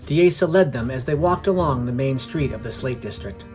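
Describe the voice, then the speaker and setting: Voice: mid-range voice, animated, moderate pace, a little mellow. A podcast narrator reading a scripted fantasy story. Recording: music under the voice. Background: background music.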